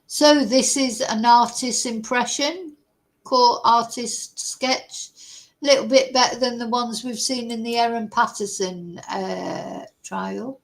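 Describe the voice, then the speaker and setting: A woman's voice: a little high, fairly even intonation, vocal fry, low-quality recording. A woman hosting a casual true crime chat, reading out news reports and adding her own asides. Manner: slow, chatty, reads news text aloud with asides. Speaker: true crime channel host. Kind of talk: casual commentary over a live news stream.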